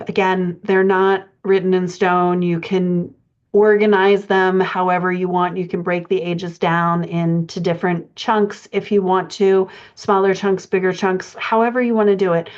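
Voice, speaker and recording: A woman's voice: on the low side, darkish tone. A woman giving an informal, explanatory podcast lesson as a writing coach, talking in a conversational way. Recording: dull lo-fi audio.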